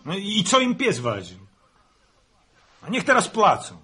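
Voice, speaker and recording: A man acting a gruff, hot-tempered middle-aged farmer who speaks bluntly in a 1960s Polish radio serial. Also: sits high, huge pitch swings, unhurried, sharp tone, heavily acted. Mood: angry.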